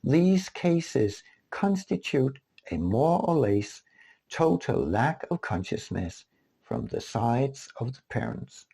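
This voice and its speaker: A man reading his own book aloud as scripted narration, in a deliberate, solemn manner with a husky, gravelly voice. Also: mid-range voice, sing-song, mellow.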